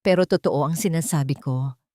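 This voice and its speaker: A woman's voice: low voice, fairly quick, mellow, no echo, clean studio sound, close to an everyday voice. A woman voicing a distraught but determined young female singer in an audio love story. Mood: insistent, pleading. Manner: insistent, tearful pleading.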